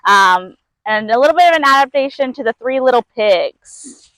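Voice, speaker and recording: A woman's voice: on the high side, animated, moderate pace, a bit bright, no room sound, rough recording. A woman reading a children's storybook aloud as a narrator. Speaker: zoo keeper reading a story.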